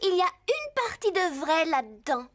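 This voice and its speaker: A woman's voice: extremely high pitch, sing-song, normal speed. A woman voicing a scheming, self-justifying young girl rider in a French cartoon dub. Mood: defensive.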